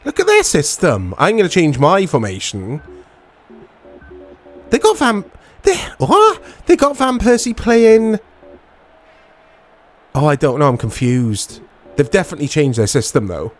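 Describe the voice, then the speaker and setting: A man giving casual commentary on a football video game in short reactive fragments, his voice strained and a little hoarse. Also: a little high, sing-song, a little slow, long pauses, soft background music. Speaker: gaming channel host.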